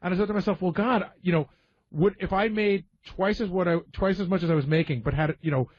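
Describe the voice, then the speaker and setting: A man's voice: high voice, quick pace, a little mellow, dry recording, dull audio. A man chatting on a radio roundtable, telling anecdotes and voicing imagined dialogue. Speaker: radio show staffer and panelist. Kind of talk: casual radio roundtable chat.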